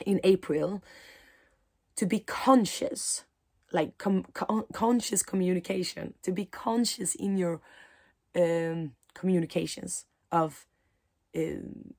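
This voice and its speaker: A woman who is a mindset coach and spiritual teacher, speaking in a reflective talk to camera, pausing often with soft fillers. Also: fairly low pitch, gravelly.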